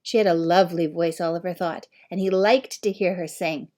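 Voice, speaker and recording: A woman reading a children's novel aloud as scripted narration, with an expressive, measured storytelling manner. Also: on the low side. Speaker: audiobook reader.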